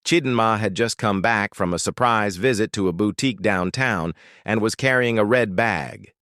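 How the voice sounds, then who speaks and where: A man's voice: lowish voice, moderate pace, fairly bright tone, no echo, clean studio sound. A man with a Nigerian accent narrating a scripted story in a dramatic style.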